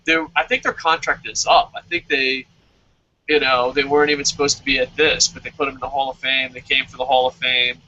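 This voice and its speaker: A man hosting a casual wrestling review chat, excitable, stammering at times and bursting into loud animated quotes. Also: mid-range voice. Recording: dull lo-fi audio.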